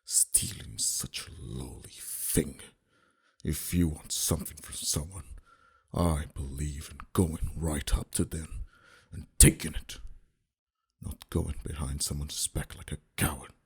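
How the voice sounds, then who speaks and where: A man playing a dominant, flirty mafia boss in an intimate ASMR roleplay, talking in a deliberate, quietly threatening and teasing manner. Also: very deep voice, steady tone, vocal fry, breathy edge, heavily acted. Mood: menacing, controlled. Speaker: voice actor.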